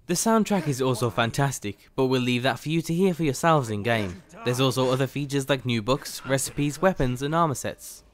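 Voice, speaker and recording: A man's voice: mid-range voice, lively intonation, a little fast, few pauses, slight background noise. A man hosting a game mod video review, reading scripted narration. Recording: faint music behind the voice. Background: faint music.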